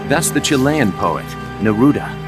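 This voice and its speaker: A man's voice: medium pitch, sing-song, normal speed, loud music behind the voice, close to an everyday voice. A man playing an earnest, ambitious mercenary second-in-command in a video game, speaking persuasively. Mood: serious, surprised. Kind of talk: dramatic video game cutscene acting.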